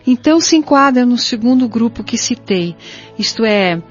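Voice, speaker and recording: Atriz fazendo uma senhora aflita e cortês, som abafado. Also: female voice, on the high side, lively intonation, moderate pace, lightly acted. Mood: courteous. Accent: Brazilian. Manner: formal, deferential. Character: Idalina, anxious, courteous pleading mother spirit. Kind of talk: audio drama acting. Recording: dull lo-fi audio with music bed.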